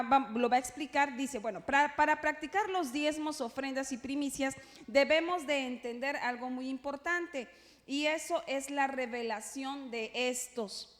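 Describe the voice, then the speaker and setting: A woman with a Peruvian Spanish accent teaching a church class informally, chatting with playful asides and often checking that listeners follow. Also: high-pitched. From Peru. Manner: conversational, playful asides, frequent checking tags. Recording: echoey hall with long reverb.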